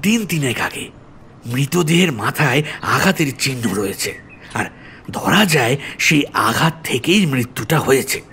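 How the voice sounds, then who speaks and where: A man's voice: mid-range voice, lively intonation, measured pace, pushed and bright, a little hiss or hum. A man playing an earnest, deferential police officer in an audio story, explaining things politely and seriously. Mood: grave.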